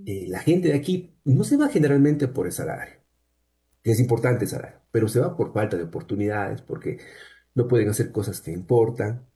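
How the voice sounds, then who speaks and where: A man with an accent from Cuenca, Ecuador, a university researcher explaining things at a measured pace with frequent pauses as a guest in an interview. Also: lowish voice, expressive, warm tone. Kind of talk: conversational interview answers.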